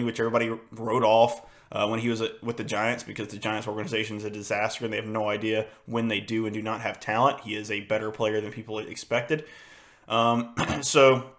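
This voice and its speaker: A young man hosting a casual sports podcast, hoarse and losing his voice, rambling with many fillers and restarts in a frustrated tone. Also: mid-range voice, a bit bright. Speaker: podcast host.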